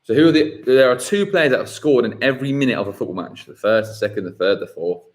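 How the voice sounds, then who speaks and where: Lively man hosting a football quiz. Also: medium pitch. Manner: lively, reads questions slowly and repeats them. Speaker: quiz host.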